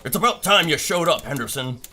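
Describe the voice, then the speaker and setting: Radio play boss voiced by a man: stern, no patience, tense edge on a higher male voice, faint office clatter. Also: animated, fairly quick, sharp tone. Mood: curt, displeased. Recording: noisy background with typewriter clatter.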